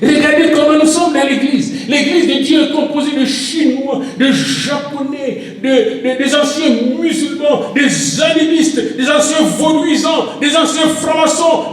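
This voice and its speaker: A man with a very high voice preaches in a French that is not his mother tongue, his lines climbing into shouted refrains. He sounds far from the microphone, and his voice rings through a big, echoing church hall. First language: an African language. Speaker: pastor. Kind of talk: sermon.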